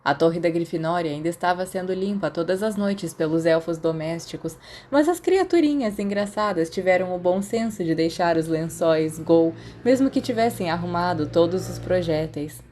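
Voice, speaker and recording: A woman's voice: fairly low pitch, moderate pace, hardly any pauses, mellow, a little hiss or hum. A woman with a Brazilian accent reading scripted fiction as a podfic narrator.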